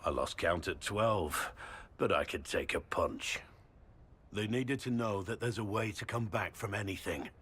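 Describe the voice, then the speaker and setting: An actor voicing a gruff, remorseful middle-aged warrior in a video game, earnest and weary. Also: male voice, on the low side, unhurried, fairly bright tone.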